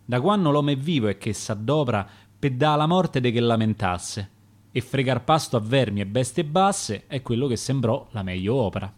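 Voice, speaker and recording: A man's voice: medium pitch, animated, moderate pace. Roman man reciting dialect sonnets. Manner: rhythmic, dramatic verse delivery. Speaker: poet reading his own sonnets. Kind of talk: radio poetry recitation.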